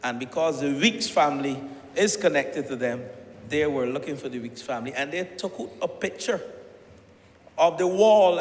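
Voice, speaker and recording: A man with a strong Barbadian accent giving a speech in parliament, emphatic, surging loud on key phrases and restarting words as he goes. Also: on the high side. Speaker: member of parliament. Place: parliament chamber. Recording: echoey chamber with long reverb, faint hiss.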